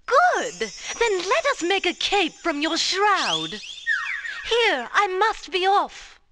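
A woman's voice: extremely high pitch, big pitch swings, takes it slowly, exaggerated voice. A woman voicing a kindly old wizard helper in a cartoon game. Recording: very noisy old game audio.

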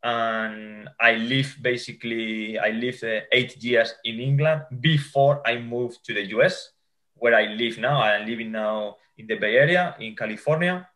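A man's voice: mid-range voice, a bit bright. A man in his forties from Spain, with a Spanish accent, answering interview questions as a futsal coach over a video call, often restarting his sentences. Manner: halting, with many restarts and fillers. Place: home room.

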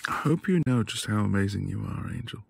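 A man acting as a devoted, flirtatious husband in an intimate ASMR roleplay, breathy and affectionate, often dropping toward a whisper. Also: lowish voice, lively intonation, noisy background. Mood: teasing, affectionate.

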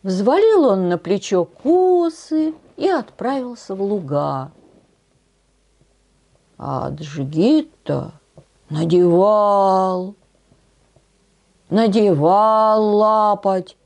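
A woman in her sixties telling a folk tale to children in an expressive, grandmotherly manner with drawn-out phrases. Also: medium pitch, vocal fry. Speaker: storyteller for children. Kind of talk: folk tale storytelling to camera. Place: small decorated indoor room.

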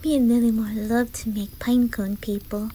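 A woman voicing a gentle, timid young boy with an imitated Swedish accent, speaking hushed and hesitantly in an ASMR roleplay. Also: sits high, fairly even intonation, slight background noise, rough recording. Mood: grateful, hopeful. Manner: hushed, hesitant, halting, often whispery.

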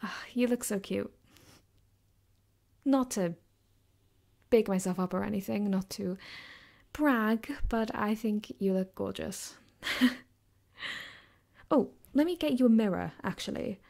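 A woman playing a caring, affectionate girlfriend in an ASMR comfort roleplay, speaking softly and gently with hesitant, reassuring warmth. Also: mid-range voice, slow pace, vocal fry, long pauses. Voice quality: soft, gentle, sometimes dropping to a whisper.

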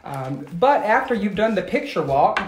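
An American man, a teacher in his thirties, reading aloud to children and asking them questions in an expressive, instructional way, recorded on a phone at home. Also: sits high, moderate pace, slight background noise. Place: small room at home, indoors. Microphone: phone at arm's length. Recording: echoey room, phone recording.

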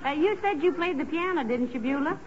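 Wry, patient wife on muffled old radio. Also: female voice, sits high, animated, a little fast, crowd noise. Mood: gently teasing. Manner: wry, affectionate. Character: patient, gently teasing, sensible wife. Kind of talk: 1940s radio comedy acting. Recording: noisy, muffled 1940s radio recording.

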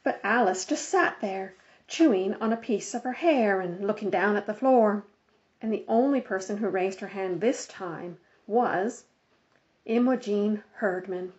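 A woman reading a children's book aloud as an expressive storyteller, on a slightly dull recording. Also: mid-range voice, a little slow. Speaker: audiobook reader.

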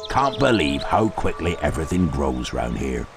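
An actor voicing a huge, gruff but kindly gamekeeper in a video game. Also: male voice, a bass voice, sing-song, moderate pace, music in the background, full character voice. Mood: friendly, hearty. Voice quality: gruff, gravelly. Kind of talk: video game character acting.

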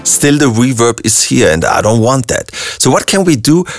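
A young man with an Austrian German accent, a music producer calmly walking through a software tutorial step by step with frequent ums. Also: mid-range voice, dry recording, studio quality. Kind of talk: screen-recorded software tutorial.

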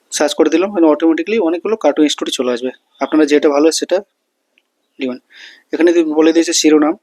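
A man presents a how-to in a casual, step-by-step way. His voice sits a little high for a male speaker, and the sound is dry with no room to it. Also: a little mellow, dry recording. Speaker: tutorial host. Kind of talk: casual step-by-step screen tutorial.

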